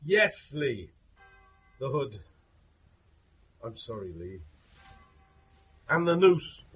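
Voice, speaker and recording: Slow, husky, regretful hangman. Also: medium pitch, big pitch swings, fairly bright tone, full character voice. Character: subdued, regretful Victorian male hangman. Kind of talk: period radio drama acting. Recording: dull lo-fi audio, music underneath.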